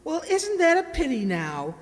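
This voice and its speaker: A woman playing a shrill, scolding household cook. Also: huge pitch swings, normal speed. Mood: mocking. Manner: bossy, mocking, scornful. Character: gruff, scolding, sarcastic household cook woman in old London. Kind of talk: amateur radio play acting. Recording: echoey hall, long reverb.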